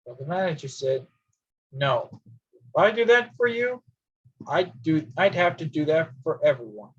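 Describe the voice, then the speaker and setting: A man performing a comic storytelling monologue with a halting, theatrical delivery. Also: on the high side, expressive, fairly bright tone, slightly rough. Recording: dull lo-fi sound.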